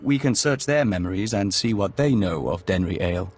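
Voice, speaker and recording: Low-voiced, serene hive envoy. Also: male voice, lively intonation, slightly rough, faint music behind. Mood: calm, reverent. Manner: measured, formal, speaks of himself as we. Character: serene, courteous male envoy of an alien insect hive. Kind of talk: video game voice acting.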